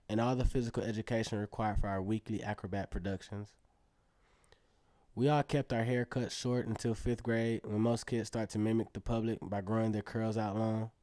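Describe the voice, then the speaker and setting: A man's voice: lowish voice, warm tone, no room sound, natural voice. An actor playing an earnest teenage acrobat who narrates his story in a reflective, conversational way in a radio drama. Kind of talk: first-person narration in an Afrofuturist radio drama.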